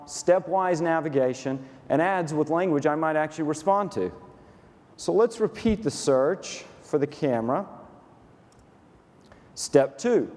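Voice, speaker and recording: A man with a Texan accent, demonstrating software step by step in a dry, no-nonsense tone. His voice sits a bit high for a man, and it carries a long hall echo from a conference stage. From Texas, USA. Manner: dry, matter-of-fact, methodical walkthrough. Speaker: company COO demonstrating software. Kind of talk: staged product demo presentation.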